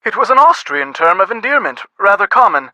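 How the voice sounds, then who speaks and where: A man's voice: high voice, sing-song, brisk, pushed and bright, no echo, full character voice. A man playing a genteel, self-satisfied antiques expert in a video game, with a pompous, courtly manner. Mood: musing.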